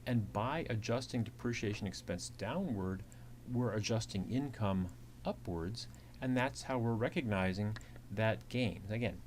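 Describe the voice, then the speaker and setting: Male instructor, fairly low voice, methodical slide-lecture narration, slight hum on the recording. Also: unhurried, darkish tone. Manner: methodical, repeats points. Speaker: accounting instructor. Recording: clearly audible hiss and hum.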